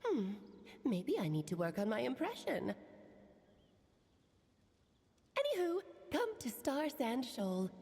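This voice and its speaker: A woman's voice: fairly high pitch, wildly swooping pitch, moderate pace, a little mellow, slightly rough, no echo, heavily acted. A woman playing a breezy, eccentric witch auntie in an anime video game, with a theatrical storyteller's flourish. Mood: playful.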